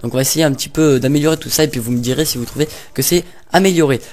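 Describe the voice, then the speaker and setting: A man's voice: mid-range voice, rapid-fire, darkish tone. A young man casually commenting over gameplay, rambling and hesitant with lots of fillers and little jokes. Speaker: gaming YouTuber.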